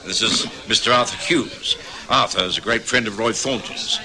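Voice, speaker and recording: An actor playing a genial gentleman, companion and narrator in a radio detective drama. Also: on the low side, normal speed, crowd noise, natural voice. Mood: discreet, sociable. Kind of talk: old-time radio drama acting, part narration.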